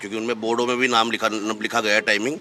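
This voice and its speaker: A man's voice: on the low side, flat delivery, very fast, bright, forceful tone. A man giving spoken answers as an interviewee in a news report.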